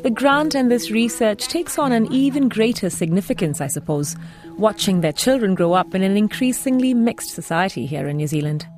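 Lively woman radio reporter. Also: medium pitch, moderate pace, faint music behind. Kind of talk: scripted narration.

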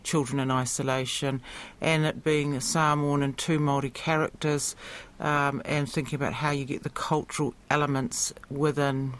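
A man speaking as a theatre director in an interview, reflective, searching for phrases in a halting way. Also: mid-range voice, little pitch movement, a bit bright.

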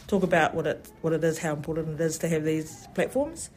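New festival organiser, mid-pitched and enthusiastic, a rambling interview guest. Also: lively intonation, a little fast, a little mellow, slight background noise. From New Zealand. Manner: enthusiastic, rambling, many "you know" fillers. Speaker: festival event specialist. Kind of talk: radio interview.

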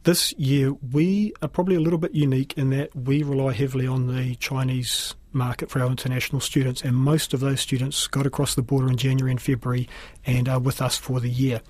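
Husky New Zealand man, evenly pitched. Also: little pitch movement, a little fast, hardly any pauses, a little mellow, no room sound. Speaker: school principal being interviewed. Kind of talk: explanatory interview talk.

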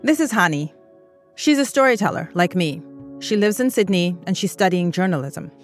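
A woman who grew up in East Africa narrates a documentary podcast with a lowish voice. She reads in a measured, earnest way. Soft music plays beneath her narration. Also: lively intonation.

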